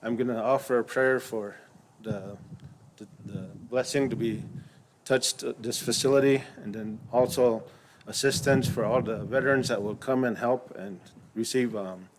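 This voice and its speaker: Pria yang berbicara ragu dengan suara bising, diikuti doa berirama. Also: male voice, medium pitch, normal speed, background noise. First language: Lakota. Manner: hesitant, many fillers, then steady chanting prayer. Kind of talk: halting ceremonial remarks and prayer.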